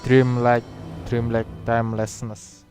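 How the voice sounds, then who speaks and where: A man's voice: mid-range voice, monotone, slow, darkish tone, faint music behind. A man with an Indonesian accent, a native Indonesian speaker, reacting wryly and casually to a game as he streams. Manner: wry, echoes and answers the game dialogue. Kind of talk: casual live gameplay reaction.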